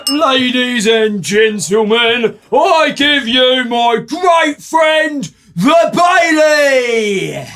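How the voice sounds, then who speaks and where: High, blustering, tipsy merry gentleman. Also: animated, faint music behind, heavily acted. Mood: merry toasting. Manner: exclamatory, drawn-out, declamatory delivery. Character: merry, blustering, drunken travelling salesman hero. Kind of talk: theatrical audio drama acting.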